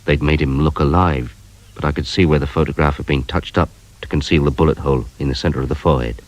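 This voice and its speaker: A man's voice: very deep voice, steady tone, fast. An actor playing a dour, dry-witted police inspector who narrates his case in a radio crime drama. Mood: grim, measured.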